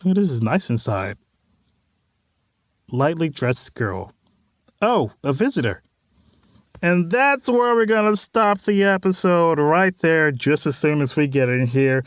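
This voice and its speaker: A man's voice: on the high side, animated, unhurried, pauses often, no room sound. A man hosting a let's play of a visual novel, reading text aloud and adding informal, wry asides. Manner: informal, wry side comments on what is read.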